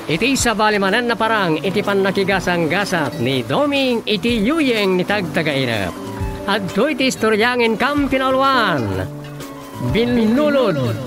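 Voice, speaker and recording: A male announcer introduces a radio drama in an Ilocano Filipino accent. His delivery is scripted and theatrical, with big swings in pitch, set over clearly audible music. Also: big pitch swings, measured pace.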